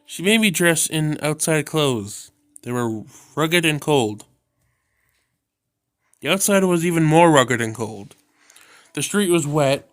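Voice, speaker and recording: A man reads a visual novel aloud in a smooth, resonant voice. His telling stays subdued and steady. The sound is close and dry. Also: a little high, slow, pauses often, no room sound. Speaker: video game narrator.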